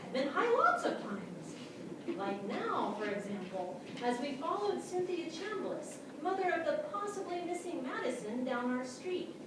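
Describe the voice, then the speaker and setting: Woman playing an excitable dog storyteller, high-voiced, echoey. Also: measured pace. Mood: eager, confiding. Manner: expressive, comic, punchy short phrases. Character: excitable, boastful, easily distracted male dog narrator. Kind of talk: theatrical staged prose reading. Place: small theatre stage, live audience. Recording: echoey theatre from far off, noisy.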